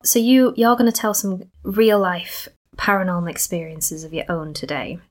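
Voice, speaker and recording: A woman's voice: on the low side, normal speed. A young woman from Skipton, hosting and interviewing in a rambling style with playful asides. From Skipton, North Yorkshire. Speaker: podcast host. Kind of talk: chatty spoken introduction and interview.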